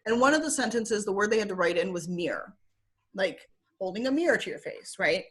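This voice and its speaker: A woman's voice: medium pitch, lively intonation, fairly quick. A young woman with a Michigan accent, talking casually as an interview guest over a video call, with lots of fillers and easy laughter. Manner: chatty with frequent fillers, laughs often.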